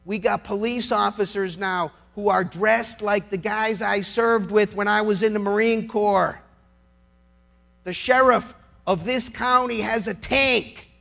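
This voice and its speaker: A man's voice: high-pitched, steady tone, measured pace, creaky, pushed and bright. A middle-aged man, a lawyer, giving an impassioned political speech in an emphatic, sarcastic way, with rhetorical questions and repeated phrases.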